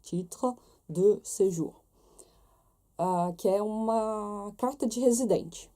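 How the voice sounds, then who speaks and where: A young Brazilian woman with a Brazilian Portuguese accent vlogs in a relaxed, chatty way. Her voice is dark and mellow, and her pitch sits in a middle range for a woman. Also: slow. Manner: chatty, talks straight to viewers with many tag questions. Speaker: vlogger. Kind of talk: casual chat to camera.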